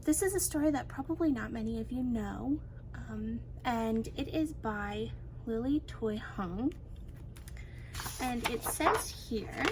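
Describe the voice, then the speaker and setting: A woman's voice: on the high side, a little hiss or hum, low-quality recording. A woman speaking as a teacher, introducing and reading aloud a book for children.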